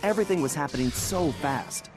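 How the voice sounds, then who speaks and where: A man voicing a cocky, easygoing young raccoon thief, telling his story wryly in an animated video game. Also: fairly high pitch, fairly quick, close to an everyday voice. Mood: reflective. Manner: wry, smooth storytelling. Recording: clear music bed behind.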